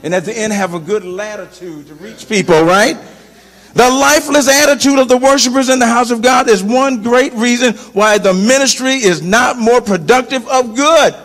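A middle-aged man preaching a sermon as pastor, animated and shifting between loud and soft delivery, on a handheld mic in a large church. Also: very high voice, moderate pace. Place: large church sanctuary, indoors. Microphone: handheld microphone. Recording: long church reverb.

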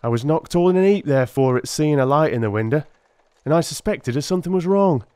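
A man's voice: on the high side, animated, quick pace, a little mellow, clear, resonant tone, dry recording, voice pushed up, lightly acted. A man voicing a working-class Victorian police constable in an audiobook drama, telling things in a rambling, colloquial way. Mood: earnest, rambling. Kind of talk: dramatised audiobook character acting.

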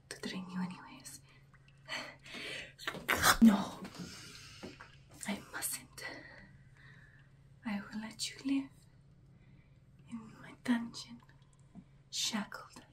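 A woman's voice: medium pitch, fairly even intonation, darkish tone, close to an everyday voice. A woman playing an imperious, hungry, centuries-old vampire bride in a whispered, ASMR roleplay, close to the microphone. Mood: musing, dismissive. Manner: breathy whispering, drawn-out theatrical delivery.

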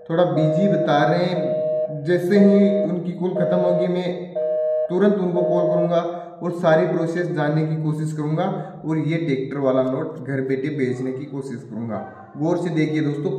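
A man's voice: on the high side, dark tone. A man, talking salesily to viewers and often repeating himself. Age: 20s. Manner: repetitive, keeps addressing viewers as friends. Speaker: video host. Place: small bare room indoors. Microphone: camera or phone set in front of him. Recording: very echoey room, distant microphone.

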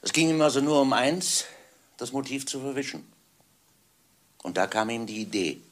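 A man playing a stern, methodical police inspector in a German radio crime drama, speaking emphatically and deliberately. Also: mid-range voice, lively intonation, lightly acted. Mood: grim, resolute. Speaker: actor in a radio crime play.

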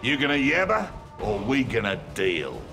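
A rough Australian mercenary for a video game. He is cocky and flippant, with an echo on the voice and music behind. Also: medium pitch, wildly swooping pitch, normal speed. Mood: taunting. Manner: flippant, slangy. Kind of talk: fighting game dialogue.

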